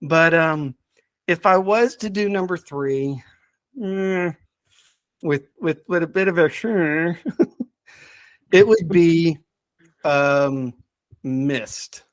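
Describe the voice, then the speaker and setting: A middle-aged man chatting casually as a podcast's subject expert, thinking aloud in a halting way with repeated words. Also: fairly high pitch, no room sound. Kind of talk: casual chat.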